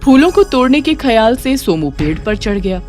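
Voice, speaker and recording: A woman narrating a scripted children's moral story in an expressive storytelling manner. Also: on the high side, moderate pace. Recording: faint music behind.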